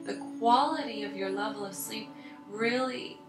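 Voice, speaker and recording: A woman's voice: a little high, over music. Soothing woman hypnotherapist, echoey room. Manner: soothing, unhurried, repeats words for emphasis. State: voice slightly deeper than usual, tired. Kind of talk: calm coaching talk about sleep hypnosis.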